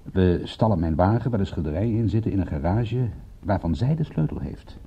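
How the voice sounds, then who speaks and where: A man playing a cynical, excitable private detective in a radio drama, speaking in a wry, emphatic, husky voice. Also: low-pitched, fairly quick, heavily acted. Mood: reasoning. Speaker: detective and narrator. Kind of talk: radio drama acting and narration. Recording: dull, muffled old radio recording.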